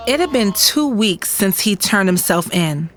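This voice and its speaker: Heartbroken narrator, unhurried. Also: female voice, medium pitch, expressive, a bit bright. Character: heartbroken young woman recounting weeks of grief. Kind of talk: dramatic first-person story narration.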